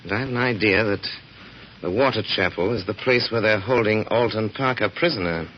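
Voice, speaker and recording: Actor as calm gentleman detective, muffled old radio. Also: fairly low pitch, brisk, slight background noise, close to an everyday voice. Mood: confident, deducing. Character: calm, confident gentleman detective giving orders. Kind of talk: 1940s radio drama acting.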